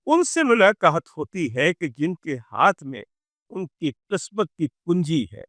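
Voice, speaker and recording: A man's voice: a little high, big pitch swings, takes it slowly, fairly bright tone, dry recording, studio quality. A man telling religious history as a dramatic orator, with emphatic phrasing, rhetorical questions and repeated phrases. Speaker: religious speaker presenting a talk. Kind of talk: dramatic Urdu religious storytelling sermon.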